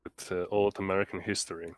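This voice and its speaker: Deep, even-toned, hesitant man. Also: quick pace, no room sound. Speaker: tabletop roleplaying player. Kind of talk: in-character tabletop roleplay.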